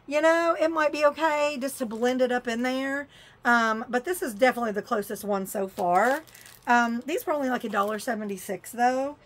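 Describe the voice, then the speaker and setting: A woman chatting casually through a shopping haul on camera, exclaiming and muttering as she opens things. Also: a little high. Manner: chatty, exclaims and mutters while fiddling with items. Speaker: vlogger unboxing a shopping haul.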